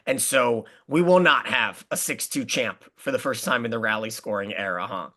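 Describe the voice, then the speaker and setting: A man's voice: medium pitch, normal speed, sharp tone. A man hosting a sports podcast, recapping matches with enthusiasm and plenty of statistics. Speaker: podcast host.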